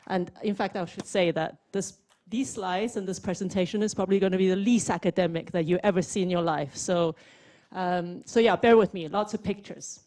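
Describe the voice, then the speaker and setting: A woman's voice: on the low side, a little fast, hardly any pauses, a little mellow. A woman in her forties, from Zimbabwe, giving a chatty, self-deprecating talk on a panel with many fillers. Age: 40s. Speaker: panel speaker. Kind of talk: informal presentation.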